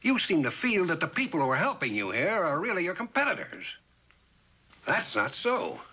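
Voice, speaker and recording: An actor playing a stern, commanding older general with an American accent in a mid-century television drama, speaking in a blunt, deliberate way. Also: male voice, fairly high pitch, wildly swooping pitch, sharp tone, breathy edge, full character voice. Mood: stern, admonishing. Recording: old television soundtrack with faint hiss.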